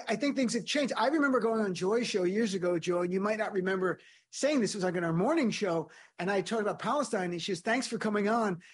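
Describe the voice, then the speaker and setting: A middle-aged man speaking as an interview guest, an animated and emphatic storyteller. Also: high-pitched. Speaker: guest, comedian and lawyer. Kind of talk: panel interview.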